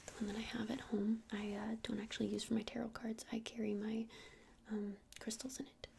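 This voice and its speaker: A woman speaks in a breathy, close-up ASMR whisper with a crackly, creaky edge. She plays a friendly witch shopkeeper, rambling gently and hesitantly with little rise or fall in pitch. A faint noise sits behind her voice. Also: flat delivery, brisk. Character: friendly witch shopkeeper selling crystals and incense. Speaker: ASMR roleplayer playing a crystal shop witch.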